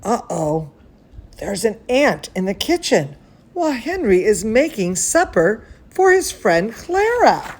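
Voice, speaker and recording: Woman, children's storyteller, very unhurried pace, swooping expressive pitch, affectionate, chatty asides. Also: a little hiss or hum. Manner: affectionate, child-directed, exclaiming and repeating. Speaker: children's bedtime story host and cooking teacher. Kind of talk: read-aloud storytelling with chatty asides to viewers.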